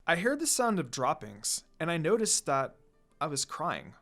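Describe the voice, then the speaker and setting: Lively fan fiction reader over music. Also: mid-range voice, normal speed. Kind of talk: expressive first-person story narration, casual asides. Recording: background music.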